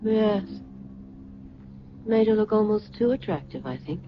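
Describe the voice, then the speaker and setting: An actress playing a vain, imperious middle-aged fashion designer in an old-time radio drama, grand and condescending. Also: female voice, mid-range voice, expressive, measured pace, noisy background, natural voice. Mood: languid, self-satisfied. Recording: muffled vintage radio recording.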